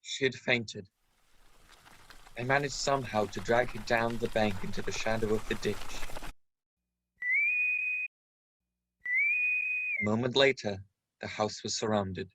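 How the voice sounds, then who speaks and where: A man playing the narrator of a radio play, gravely recounting a boyhood adventure. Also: fairly low pitch, fairly even intonation, normal speed, background noise, lightly acted. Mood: tense. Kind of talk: scripted dramatic narration.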